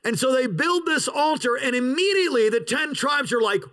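A pastor preaching an outdoor sermon in a parking lot, with an opening prayer and emphatic, drawn-out pauses. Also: male voice, very high-pitched, expressive, fairly bright tone.

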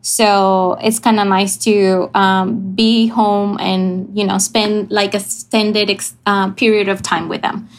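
A woman from Venezuela, a missions pastor chatting casually over a video call, laughing often and pausing on fillers. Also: mid-range voice, little pitch movement. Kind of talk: casual interview chat. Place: family home.

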